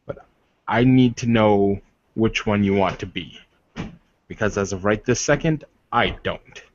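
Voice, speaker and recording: A man playing a proud, commanding werewolf warrior leader in a tabletop game, speaking earnestly and formally. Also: close to an everyday voice. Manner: solemn, deliberate, formal phrasing. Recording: dull, roomy low-fidelity voice recording.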